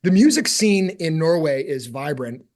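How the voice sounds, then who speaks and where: A man with a slightly high-pitched voice hosts a music podcast. He opens with a read-out intro and then turns eager and chatty with his guests. He sometimes pokes fun at himself along the way. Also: expressive, normal speed. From United States. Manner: eager, warm, lightly self-deprecating. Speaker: podcast host.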